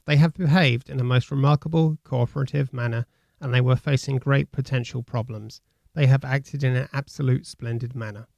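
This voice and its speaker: Male reader, creaky mid-pitched voice, delivering quoted newspaper copy. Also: fairly even intonation, a little fast, darkish tone, no echo, highs cut off. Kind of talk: scripted reading.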